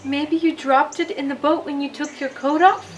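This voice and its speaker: A gentle, anxious storybook mother as a woman narrator plays her. Her voice is high and caring, and music sits underneath. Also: fairly even intonation, normal speed, natural voice. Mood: concerned.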